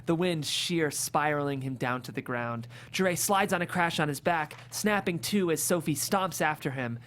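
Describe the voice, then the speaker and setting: Mid-pitched narrator reading stage directions. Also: moderate pace, fairly bright tone, a little hiss or hum. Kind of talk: scripted narration at comedic table read.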